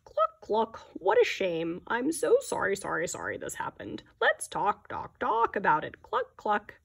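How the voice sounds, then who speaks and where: A woman's voice: medium pitch, wildly swooping pitch, unhurried, gravelly, husky, full character voice. Woman doing a fussy, clucking chicken. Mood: fussing, sorry. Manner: clucking, repetitive, apologetic.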